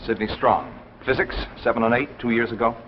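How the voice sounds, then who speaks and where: A man's voice: mid-range voice, fairly quick, lightly acted. An actor playing a polite, hesitant young man, a former student, in 1950s radio drama style. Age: young adult. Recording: dull old radio, faint noise.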